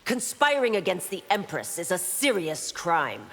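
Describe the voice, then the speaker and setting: A woman's voice: on the low side, big pitch swings, a little slow, a bit bright, full character voice. An actress playing a stern, dutiful female warrior constable in a video game, formal and firm. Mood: stern, defiant. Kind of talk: video game character dialogue. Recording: long hall-like reverb.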